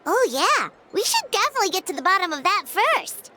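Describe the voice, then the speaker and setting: A woman voicing a small, excitable, frank fairy companion with a childlike, squeaky voice in a video game. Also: brisk, a little hiss or hum, heavily acted. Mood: doubtful.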